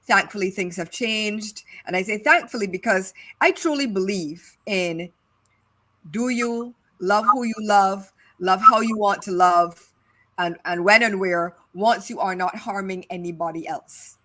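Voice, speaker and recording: A woman's voice: mid-range voice, a little slow, pushed and bright. Emphatic Barbadian woman co-host. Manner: emphatic, repeats phrases for stress. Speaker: podcast co-host. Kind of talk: casual discussion.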